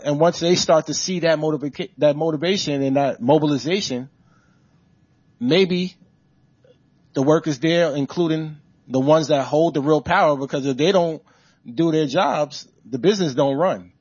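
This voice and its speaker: A man's voice: fairly high pitch, darkish tone, husky, dry recording, muffled recording. Rallying man speaking at a live event. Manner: emphatic, rallying, preacher-like cadence. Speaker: author and labor organizer, guest speaker. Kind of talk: answering an audience question at a book event. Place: event room with an audience.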